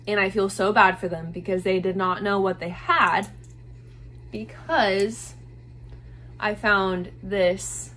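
A woman's voice: mid-range voice, a bit bright, a little hiss or hum. A woman in her twenties talking casually to camera in a small bedroom, gushing about thrifted clothes with lots of "like" fillers. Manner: chatty, gushing, many "like" fillers. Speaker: fashion vlogger. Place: small bedroom with bed, indoors.

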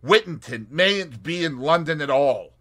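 A man's voice: fairly high pitch, lively intonation, measured pace, sharp tone, voice pushed up, exaggerated voice. A man playing a cheerful teasing young man. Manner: bantering, mock-pompous. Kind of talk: audiobook character reading.

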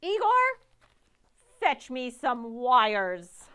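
Woman acting out an excitable, high-pitched girl inventor. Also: very slow, smooth, full character voice. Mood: bossy. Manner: shouting commands excitedly. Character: Victoria, excitable young girl inventor, bossy and urgent.

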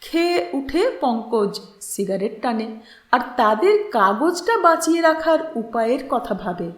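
High, lilting woman storyteller in echoey room. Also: big pitch swings, unhurried. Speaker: channel host and story narrator. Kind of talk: expressive literary story narration, audiobook reading. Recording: roomy, echoing voice recording.

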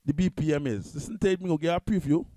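A man with a Trinidadian accent, talking loosely through a music software tutorial at his computer, repeating himself and restarting often. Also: a little high, fast, no echo, clean studio sound. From Trinidad and Tobago. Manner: loose, repetitive, restarts a lot. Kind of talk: casual screen-recorded software tutorial.

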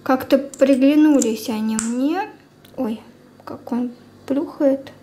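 A young woman chatting casually through a product review as a beauty vlogger, informal and unhurried, thinking aloud. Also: sits high, dark tone. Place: indoors at a table at home. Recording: clear background room noise.

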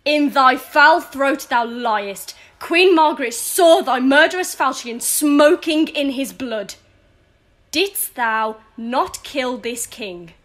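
A woman's voice: sits high, animated, slow pace, a bit bright, exaggerated voice. A woman playing a grieving, furious widow in a theatrical, scornful verse audio drama. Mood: outraged. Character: grieving, furious widow cursing her husband's killer.

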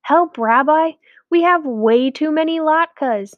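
A woman's voice: sits high, slow pace, no echo, phone-quality sound, voice pushed up. Woman acting out an eager, gullible village husband. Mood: excited. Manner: earnest, exclaiming.

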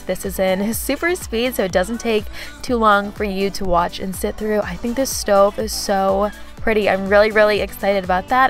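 A woman vlogger chatting casually in a voice-over about her kitchen, with frequent "like" fillers. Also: medium pitch. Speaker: home vlogger.

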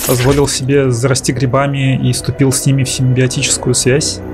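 A man's voice: medium pitch, completely flat delivery, a little fast, over loud music. A man giving a casual scripted voice-over review as the host.

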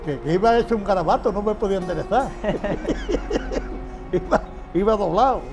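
A man's voice: high-pitched, sing-song, moderate pace. An older man, a former patient, answering interview questions in plain, repetitive speech with frequent chuckles, outdoors on a patio. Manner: plain-spoken, repeats phrases, chuckles often. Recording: music bed underneath. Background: background music.

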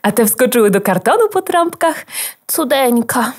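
A woman's voice: on the high side, big pitch swings, normal speed. A woman as a sweet, lilting little byte. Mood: cutesy, coaxing. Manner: cutesy, coaxing. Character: sweet, cutesy little byte, sly and playful. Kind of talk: children's audio drama acting.

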